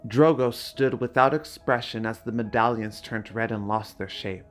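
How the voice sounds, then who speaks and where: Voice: medium pitch, steady tone, moderate pace. A video creator with a mid-range voice, narrating fantasy drama and chatting casually to viewers. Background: background music.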